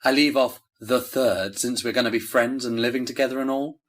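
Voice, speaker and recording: A young man playing an easygoing, friendly eighteen-year-old in an audio drama, with a wry, relaxed manner. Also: mid-range voice, brisk, lightly acted.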